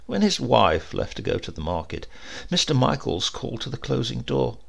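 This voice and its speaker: A man reading a literary supernatural tale aloud in a measured, expressive storytelling manner. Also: medium pitch, creaky. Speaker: story reader.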